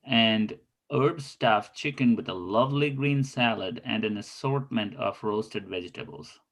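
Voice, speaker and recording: A man's voice: lowish voice, unhurried, fairly bright tone. A man playing an earnest thirty-something spy in a scripted table read, speaking in formal, stilted phrases. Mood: content.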